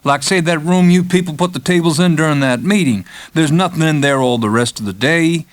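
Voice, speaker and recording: A man's voice: fairly high pitch, brisk, pitched above the performer's own voice, close to an everyday voice. A man voicing a brash, brawling American gambler with folksy, blunt, wheedling speech in an audiobook. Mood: cajoling. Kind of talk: audiobook character acting.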